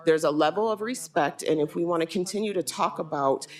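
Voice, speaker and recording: Composed woman speaking at public comment. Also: on the low side. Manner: composed, firm, measured. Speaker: school board candidate. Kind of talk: public comment at a school board meeting. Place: school board meeting room.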